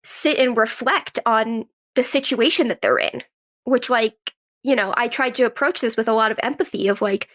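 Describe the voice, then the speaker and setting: Female interview guest, slightly high pitch, informal conversational delivery with frequent "like". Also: normal speed, a bit bright. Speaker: guest, actress and writer. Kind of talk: casual interview chat.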